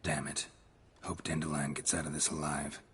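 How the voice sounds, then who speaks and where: An actor with an American accent playing a gruff, stoic monster hunter in a video game, terse and deadpan. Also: male voice, very deep voice, normal speed, a little hiss or hum. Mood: grim, resolute. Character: gruff, stoic monster hunter, grown man, dryly unflappable.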